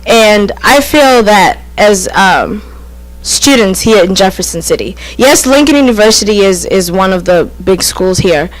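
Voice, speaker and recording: Young woman from Kenya, polite and measured. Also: medium pitch, lively intonation, dry recording, low-quality recording. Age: 20s. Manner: measured, polite, slightly formal. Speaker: student liaison. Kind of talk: self-introduction at a meeting. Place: council chamber at a podium.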